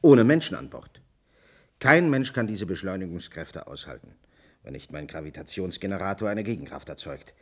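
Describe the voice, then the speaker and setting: An actor playing a cautious, pedantic scientist in a radio play, explaining deliberately in with some hesitation. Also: male voice, low voice, lively intonation. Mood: earnest, insistent. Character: worried, pedantic male scientist, cautious and precise. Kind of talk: German radio play acting.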